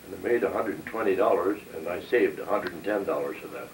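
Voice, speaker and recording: A man's voice: low voice, fast. An elderly man from North Dakota, recounting his life in a plain, matter-of-fact way. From North Dakota, United States. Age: 70s or older. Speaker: interviewee, war veteran. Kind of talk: oral history recollection. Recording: roomy, distant microphone, background hiss.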